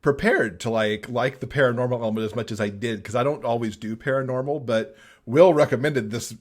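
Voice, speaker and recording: Casting: male podcast guest, medium pitch, conversational book-recommendation chat. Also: fairly quick.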